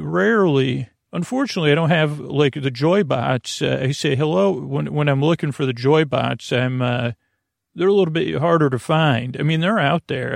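A man hosting a bedtime podcast in a rambling, halting monologue, whimsical and gently reassuring, often repeating himself and trailing off. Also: a little high, creaky, no room sound, clean studio sound. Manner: meandering, halting, repeats and trails off mid-sentence. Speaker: podcast host.

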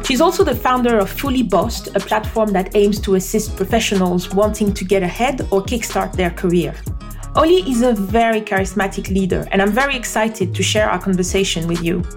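A woman's voice: mid-range voice, brisk, faint music behind. A woman hosting a podcast, giving a polished, scripted introduction and interviewing a guest. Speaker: podcast host. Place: remote call. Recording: roomy remote recording.

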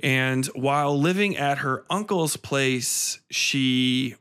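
Male reviewer-host, medium pitch. Unscripted solo monologue, colloquial and blunt, swears freely. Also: slow, a bit bright, clean tone. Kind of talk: casual off-the-cuff review monologue.

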